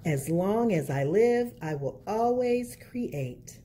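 A woman reads a picture book to children in an expressive storyteller's style. Her pitch moves freely over a mellow, rounded tone. A little background noise sits behind her voice. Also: slow pace. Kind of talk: read-aloud picture book narration.

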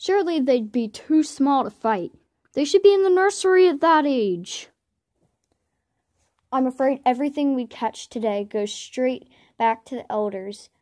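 A woman's voice: high-pitched, expressive, unhurried, a little mellow. A woman voicing a male warrior cat in an amateur cartoon audition. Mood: earnest. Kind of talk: amateur voice audition line reading.

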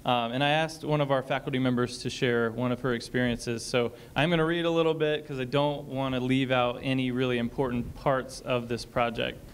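A man's voice: medium pitch, fairly even intonation, a little fast, few pauses, slight background noise. A man speaking on a college panel in an auditorium, explaining a program with frequent ums and reading a short passage aloud. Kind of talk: panel discussion talk.

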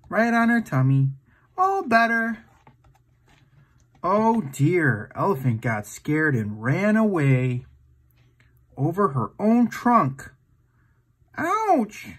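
Male storytime host, very lively pitch swings, unhurried pace, playful read-aloud for little kids. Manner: playful, exaggerated, asks the listener questions. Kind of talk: animated read-aloud picture book storytime for young children.

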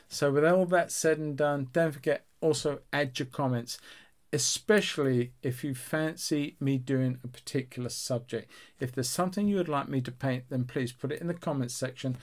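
A man in his sixties speaks in a mid-range voice as the host of a painting tutorial. His delivery is casual and chatty, addressing viewers directly in an encouraging, instructional way. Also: mid-range voice. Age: 60s.

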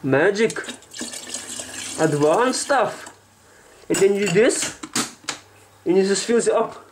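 A man's voice: high voice, expressive, takes it slowly. A young man playing a cheeky, foul-mouthed Slavic persona with a put-on Russian accent and broken English, rambling casually as he vlogs. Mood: playful. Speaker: vlogger. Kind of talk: casual comedic vlog. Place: small home kitchen indoors. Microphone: handheld camera at arm's length. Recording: noisy handheld camera with clicks.

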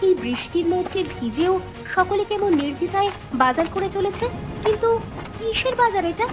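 A woman's voice: very high-pitched, normal speed. A woman playing a wronged, defiant wife in a cartoon melodrama, protesting with open emotion. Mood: puzzled. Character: aggrieved, defiant wife of a drunkard. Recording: music behind the voice.

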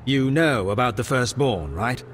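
A weathered British army major in a game cutscene, voiced by a man. His pitch is average and his talk is wry and colloquial, like a soldier telling a tale. Faint music plays behind him. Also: expressive, a little slow, close to an everyday voice. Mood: grave, storytelling. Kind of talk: video game cutscene acting.

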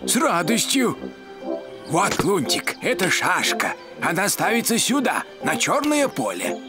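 A courteous cartoon crayfish, lonely and a little hesitant. He talks slowly with a swinging, lively melody, over music. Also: sits high, full character voice. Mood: eager, kindly. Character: lonely, polite male crayfish, eager checkers player. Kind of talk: cartoon voice acting. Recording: music under the voice.

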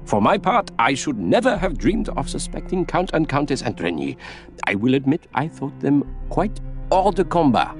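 A man voicing an excitable, self-assured Continental gentleman in an audiobook, speaking emphatically and exclaiming. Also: a little high, moderate pace, faint music behind, voice pushed up. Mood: confident.

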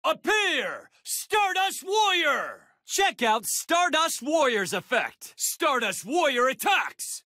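A man's voice: very high-pitched, sing-song, bright, forceful tone, no echo, clean studio sound, heavily acted. An actor playing a heroic, determined young male card duelist in an anime video game, with intense, dramatic declarations. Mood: fierce, triumphant. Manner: intense, dramatic declarations, drawn-out attack calls.

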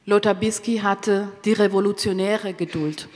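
A woman's voice: medium pitch, fairly even intonation, a little mellow. Careful woman interpreter in a reverberant hall. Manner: careful, halting short phrases with rephrasing. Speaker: consecutive interpreter. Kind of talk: solemn spoken interpretation of a eulogy. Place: theatre stage. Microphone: microphone on a stand.

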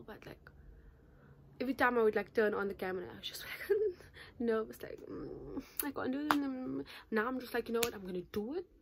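A chatty nineteen-year-old woman vlogging straight to her camera from a small bedroom, held at arm's length. She talks at a middling pitch for a woman, with lots of fillers and an animated, gesturing delivery. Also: slight background noise. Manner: chatty, animated, gestures a lot, says "like" constantly. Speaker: YouTuber. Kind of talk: casual to-camera vlog. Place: small bedroom in front of a patterned curtain. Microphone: phone or camera at arm's length.

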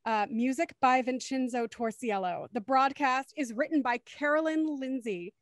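High-voiced young woman hosting, dry close sound. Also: moderate pace, no room sound. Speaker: host of a podcast after-show. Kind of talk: casual hosting chat over video call. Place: home room, on a group video call.

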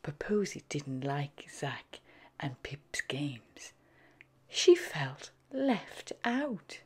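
A woman reads a storybook to small children over music. Her pitch moves in lively sweeps, and her voice is breathy. She takes it very slowly, in an animated, sing-song style. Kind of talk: expressive scripted storybook read-aloud for young children. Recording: background music under the voice.

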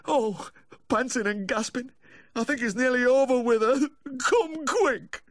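A man's voice: measured pace, no room sound, clean studio sound. A man voicing a tearful, drunken little man in his sixties for an audiobook, pleading in a broken, sobbing wail. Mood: sobbing, frantic. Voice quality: high, broken, wailing. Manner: frantic pleading, rustic British dialect wording. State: sobbing, gasping.

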